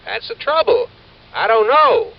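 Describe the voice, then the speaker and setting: High-voiced, rambling young American agent. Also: male voice, sing-song, heavily acted. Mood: worried, rambling. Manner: halting, rambling, informal. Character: young, rambling, folksy male insurance agent. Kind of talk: 1950s radio drama acting. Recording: muffled, noisy old radio recording.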